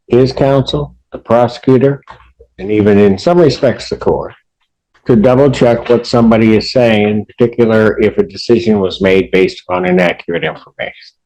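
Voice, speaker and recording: A man's voice: mid-range voice, gravelly, warm tone, rough recording. An American man speaking as a judge in a courtroom, stern and admonishing, explaining his decisions at length. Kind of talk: courtroom address from the bench.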